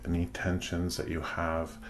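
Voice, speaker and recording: A man leading a guided meditation, giving soothing instructions in measured, deliberate phrases with pauses. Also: deep voice, monotone, faint music behind.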